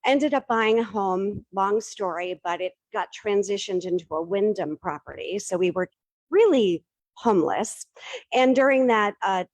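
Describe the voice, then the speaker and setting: A woman's voice: fairly low pitch, a little mellow, no room sound, rough recording. A middle-aged woman giving a casual, self-deprecating talk to a live audience, tossing questions to the room. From Boston area. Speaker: startup pitch presenter.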